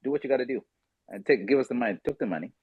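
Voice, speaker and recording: A man hosting a casual podcast discussion, telling stories animatedly and quickly mimicking others in asides. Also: medium pitch, husky, no room sound, phone-quality sound. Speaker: podcast host.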